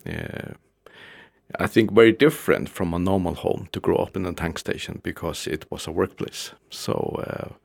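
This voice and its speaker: A man's voice: low voice, moderate pace, creaky, no echo. A middle-aged man from the Faroe Islands, a guest in a studio interview, talking casually with many fillers and restarts. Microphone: studio mic.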